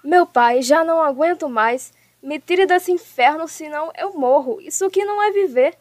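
A woman's voice: high-pitched, moderate pace, no echo, lightly acted. A woman playing an aggrieved, dramatic young daughter-in-law in a radio soap. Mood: desperate.

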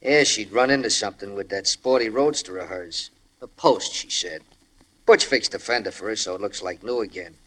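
An actor in an old radio crime drama playing a nosy household butler, insinuating in folksy working-class slang with hesitant pauses. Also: male voice, fairly low pitch, bright, forceful tone, poor audio. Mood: slyly probing. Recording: old-time radio broadcast recording.

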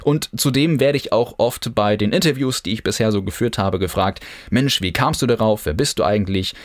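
Young male host from northern Germany, low voice, casual rambling delivery, dry studio sound. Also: brisk, dry recording. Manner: chatty, loose, many fillers and restarts. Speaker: podcast host. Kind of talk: casual podcast monologue.

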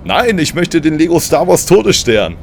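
A man's voice: a little high, very animated, moderate pace, creaky, slight background noise. A man playing himself as a hero in casual audio-play dialogue.

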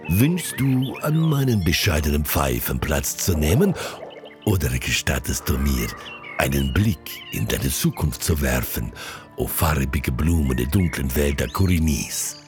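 Ein Mann spielt in einem Fantasy-Hörspiel einen höfischen Wahrsager, der blumig, ehrfürchtig und mit gedehnten Worten schmeichelt. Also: male voice, a bass voice, music in the background, full character voice. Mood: courtly flattering. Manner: ornate, reverent flattery with drawn-out words. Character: flowery, courtly male desert fortune-teller and tobacco seller. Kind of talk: fantasy audio drama acting.

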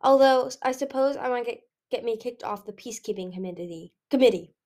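Woman voicing a bubbly, high-pitched cheeky young female dragon. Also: brisk, a little mellow, lightly acted. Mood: playful. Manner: teasing, exclamatory, dramatic.

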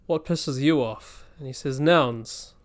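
A man's voice: mid-range voice, slow pace. A man speaking as a podcast co-host in a casual, chatty style. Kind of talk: casual chat.

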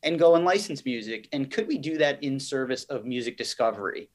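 A man's voice: medium pitch, animated, a little fast. A man giving conversational interview answers as a business guest, explaining and recounting in an articulate way. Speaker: podcast guest, company chief executive.

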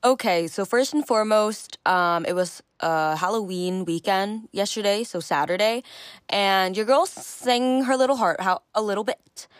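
A young woman in her twenties hosts a solo podcast in a casual, self-reflective ramble. She leans on repetition for emphasis. Her voice is a touch hoarse, and the recording is dry and close with no room sound. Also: medium pitch, measured pace, no echo. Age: 20s. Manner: chatty, self-reflective, repeats phrases for emphasis. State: slightly hoarse. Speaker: podcast host.

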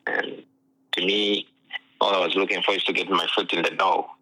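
A young man from Uganda, a pro soccer player telling his story in a relaxed interview, full of "you know" fillers, heard over what sounds like a phone line. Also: deep voice, normal speed. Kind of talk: casual interview chat.

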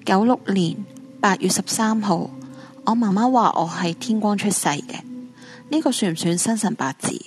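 A woman's voice: medium pitch, normal speed, faint music behind, natural voice. An actress playing a secretive, sullen schoolgirl ghost in a radio drama, speaking Hong Kong Cantonese in a curt, questioning way. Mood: guarded, hesitant.

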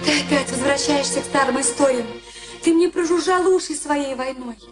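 High-voiced, impatient young woman played by an actress. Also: steady tone, normal speed, natural voice. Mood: reproachful, detached. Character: young woman, detached, impatient confidante of a boxer. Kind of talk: Soviet radio play acting. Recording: archive radio play, music behind. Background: music.

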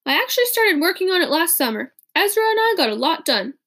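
A woman voicing an eager, intense young male inventor in an audiobook, earnest and quick to rally. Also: very high-pitched, big pitch swings, a bit bright, pitched above the performer's own voice, heavily acted. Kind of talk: audiobook character reading.

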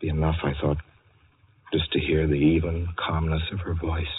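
An actor playing a desperate, haunted motorist, narrating in a dramatic, brooding and anguished 1940s radio style. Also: male voice, rumbling low, little pitch movement, normal speed, slight background noise. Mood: bleak, weary. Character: desperate, haunted male motorist unraveling with fear. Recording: muffled old radio recording, loud music behind.

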